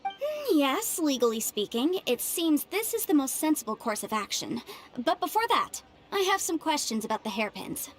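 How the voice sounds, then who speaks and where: A woman's voice: sits high, very animated, moderate pace. A woman playing a confident, shrewd young legal adviser in a video game, speaking in articulate, formal phrases. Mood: thoughtful, businesslike. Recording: game dialogue under loud background music. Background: game music.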